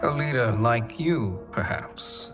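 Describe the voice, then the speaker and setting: A conspiratorial sorcerer in a game cutscene. The delivery is formal, precise and calculating at a middle pitch. Prominent cinematic music sits underneath. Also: male voice, lively intonation. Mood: calm, scheming. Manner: measured, articulate, formal. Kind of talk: dramatic video game cutscene acting.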